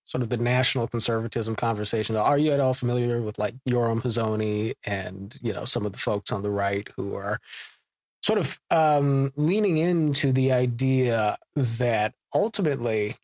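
A man's voice: mid-range voice, a little mellow, dry recording. Podcast host guy, trips over his words, lots of fillers, dry close mic. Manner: halting, many fillers and restarts while framing questions. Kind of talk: conversational podcast interview.

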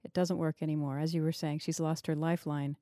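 A woman with a low, soft-toned voice hosts a thoughtful discussion of fiction. She is analytical and likes probing questions. The recording is close and dry. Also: little pitch movement, fast talker, no room sound. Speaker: podcast host interviewing an author.

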